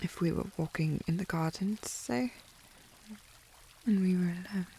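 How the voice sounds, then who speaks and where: A woman's voice: fairly low pitch, a little slow, warm tone, a little hiss or hum. A woman playing a haughty, coy young lady of the house with a British accent, prim and formal, in an intimate historical romance ASMR roleplay. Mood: coy, prickly. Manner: prim, snooty, formal phrasing with coy indirectness.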